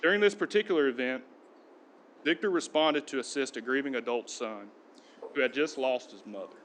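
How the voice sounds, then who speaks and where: A man, a police sergeant, giving a steady, earnest prepared speech at a podium in a council chamber. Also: medium pitch, normal speed, a little hiss or hum. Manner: steady, earnest reading of a tribute.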